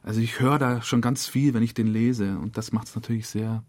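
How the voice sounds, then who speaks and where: A man's voice: mid-range voice, steady tone, fairly quick, a little mellow, dry recording, studio quality. A man in a casual interview as a radio drama director, speaking informally in broken-off, restarted sentences. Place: dry radio studio.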